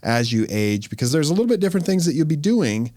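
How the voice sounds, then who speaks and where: A man hosting a podcast as a dermatologist, explaining things in a relaxed, conversational way. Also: on the high side, quick pace, no echo, studio quality. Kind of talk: casual podcast chat.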